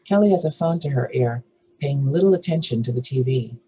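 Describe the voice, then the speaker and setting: A man's voice: mid-range voice, animated, a little fast, dark tone, muffled recording, a little hiss or hum. A man narrating screenplay stage directions at a table read.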